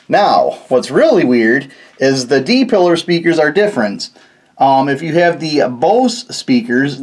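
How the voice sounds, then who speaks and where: A man's voice: fairly high pitch, darkish tone. A man hosting a casual how-to video, explaining things step by step in an informal, folksy way. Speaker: host of a DIY car electronics video.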